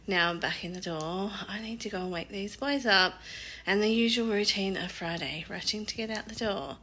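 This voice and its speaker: A woman, chatting casually to her phone camera in a family vlog, her voice a little croaky. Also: medium pitch, normal speed, bright, forceful tone, rough recording. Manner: chatty, laughs between sentences. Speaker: family vlogger. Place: outdoors by a busy road. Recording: clear traffic noise outdoors.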